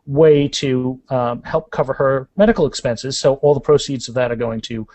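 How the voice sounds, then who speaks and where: A man's voice: medium pitch, steady tone, normal speed, darkish tone. A man speaking as an author and interview guest, telling anecdotes in a casual, rambling conversational way.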